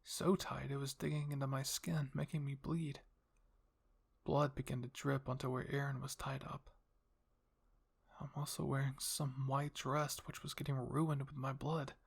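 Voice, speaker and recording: A man narrates a story in a soft ASMR style. His voice is creaky and husky, sitting at an ordinary male pitch. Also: flat delivery, unhurried. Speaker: narrator and channel host.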